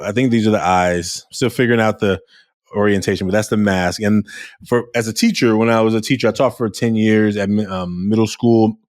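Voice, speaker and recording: Host, male, low loud voice, animated chat, dry close sound. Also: dry recording. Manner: animated, restarts often, loud voice. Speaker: podcast host. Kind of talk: casual interview chat.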